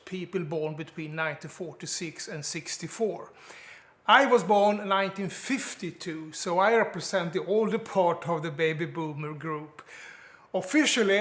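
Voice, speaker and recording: A man in his seventies from Sweden with a Swedish accent, talking to camera in earnest, plain-spoken and slightly halting English. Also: sits high, fairly bright tone. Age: 70s. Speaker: online business vlogger. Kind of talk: scripted talk to camera.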